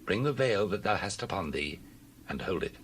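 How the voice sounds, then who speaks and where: An actor playing a kindly, dignified landowner of ancient Israel in a dramatized Bible reading, solemn and measured. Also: male voice, low-pitched, lively intonation, a little hiss or hum, rough recording. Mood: tender, reassuring.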